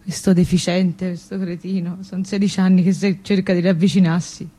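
Biting, scornful Tuscan widow. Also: female voice, on the low side, level tone, brisk, mellow, husky. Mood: scornful, indignant. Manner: biting, insulting, repetitive. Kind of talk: tragicomic stage dialogue.

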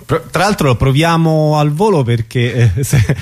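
A man's voice: a little high, expressive, moderate pace, darkish tone. A man hosting a casual radio music interview, with informal, hesitant phrasing.

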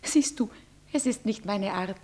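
Breathy young bride, played by a woman. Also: medium pitch, a little slow, a little mellow, close to an everyday voice. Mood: pleading. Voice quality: breathy, often whispering. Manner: emotional verse speaking. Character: young bride, fearful, devoted, pleading.

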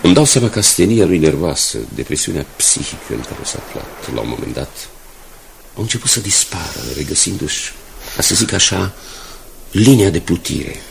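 Deep, gravelly, fatherly older man. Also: sing-song, full character voice. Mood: tender, grateful. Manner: courteous, fatherly, measured. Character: kindly ageing father and company director with weak heart. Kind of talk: Romanian radio drama acting. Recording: 1980s radio drama, faint background noise.

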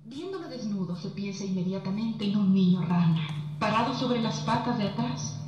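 An actress playing a tender woman painter in a theatrical monologue, with measured, poetic, reverent delivery. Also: medium pitch, animated, a little mellow, muffled recording, a little hiss or hum, lightly acted. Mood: tender, adoring. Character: Frida Kahlo, tender woman painter describing her beloved. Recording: echoing, band-limited stage recording with music.

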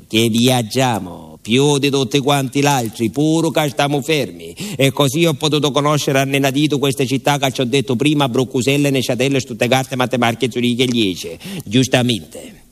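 A man's voice: medium pitch, normal speed, natural voice. A male actor playing an older, garrulous village postman in a radio theatre monologue, with a southern Italian accent and a rambling, folksy, naively proud way of telling stories. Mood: proud, explaining. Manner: rambling, folksy, repeats himself, addresses listener deferentially. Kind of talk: theatrical storytelling monologue in colloquial dialect-tinged speech.

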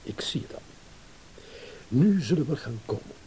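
Scornful husband played by a man, lively intonation. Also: medium pitch, normal speed, lightly acted, poor audio. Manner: sardonic, blunt, mocking. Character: scornful, impatient, self-assured male philandering husband. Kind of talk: radio play acting. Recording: hissy old radio play recording.

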